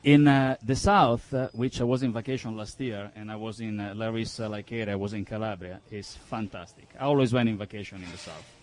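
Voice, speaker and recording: A man from Milan with an Italian accent, a native Italian speaker answering interview questions haltingly as he searches for words. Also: lowish voice, steady tone, darkish tone. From Milan, northern Italy. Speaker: restaurateur, interview guest.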